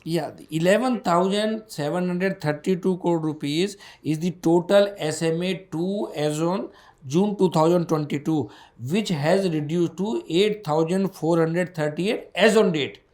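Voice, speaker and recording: A man's voice: a little high, unhurried, a little mellow, reverberant. A man with an Indian accent answering questions as a bank executive on an earnings call, in an emphatic, repetitive way.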